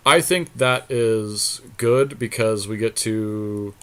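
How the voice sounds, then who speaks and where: Male gaming podcast co-host, fairly low pitch, conversational, thinks aloud while commentating play. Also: slow pace, a bit bright, clean tone. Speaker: podcast co-host commenting on a card game match.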